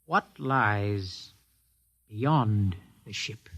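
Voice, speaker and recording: An actor in a 1950s science fiction radio drama playing an impetuous young man who questions and challenges in a husky voice. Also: on the low side, expressive, very slow, a bit bright, full character voice. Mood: probing. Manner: urgent, challenging.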